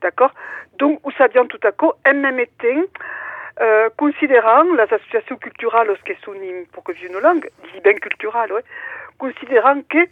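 A woman's voice: fairly high pitch, moderate pace, slightly rough, dry recording. A woman, phoning in to a radio interview and explaining emphatically, often checking she is understood. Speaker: activist, phone-in guest. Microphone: telephone. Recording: tinny narrowband phone line.

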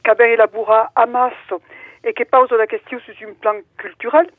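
A woman's voice: on the high side, little pitch movement, moderate pace, no echo. A woman, phoning in to a radio interview and explaining emphatically, often checking she is understood. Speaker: activist, phone-in guest. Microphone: telephone. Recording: tinny narrowband phone line.

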